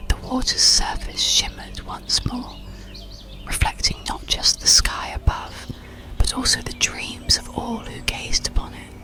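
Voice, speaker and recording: Slow, whispering ASMR bedtime storyteller. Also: medium pitch. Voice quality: breathy, unvoiced whisper. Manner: soothing, unhurried, measured delivery. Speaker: audiobook narrator.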